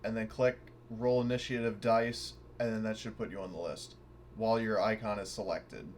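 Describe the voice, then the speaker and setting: A man's voice: lowish voice, steady tone, normal speed, darkish tone. A man playing an online tabletop game, talking casually with the group. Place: online voice call. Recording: noticeable background noise on voice call.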